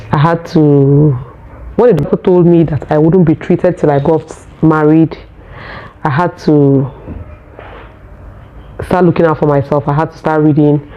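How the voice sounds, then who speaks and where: A Nigerian woman who speaks English as a second language, telling her own story in a vlog in an earnest, unhurried way. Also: sits low, muffled recording. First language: Nigerian local language. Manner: earnest, unhurried, searching for words. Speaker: vlogger.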